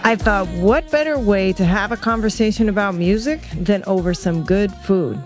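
A woman's voice: mid-range voice, moderate pace, music in the background. A woman from the United States narrating with reflective, earnest storytelling as host. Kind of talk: scripted narration.

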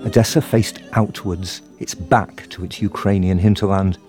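A male reporter narrating a radio documentary and putting questions to interviewees in a measured way. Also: low-pitched, fairly even intonation, over music.

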